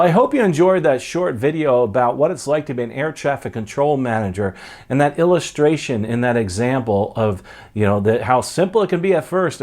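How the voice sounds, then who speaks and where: A man's voice: medium pitch, a little mellow. Chatty middle-aged male podcast host. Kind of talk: casual video outro to viewers. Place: home study with bookshelves, indoors.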